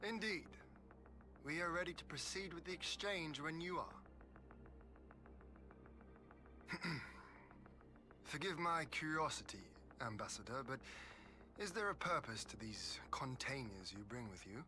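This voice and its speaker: Casting: noble game lord, formal and wry, very bright voice with lively, wide intonation. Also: male voice, a little high. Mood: guarded courtesy. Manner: formal, measured, dryly polite. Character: composed, courteous, wryly wary young lord. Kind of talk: dramatic video game acting.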